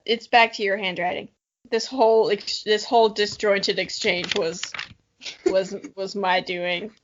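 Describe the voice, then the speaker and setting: A woman's voice: mid-range voice, steady tone, measured pace, background noise. A young woman reading a story dramatically and chatting casually about it, with a giggly, playful, self-mocking manner. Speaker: podcast co-host. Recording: dull lo-fi audio.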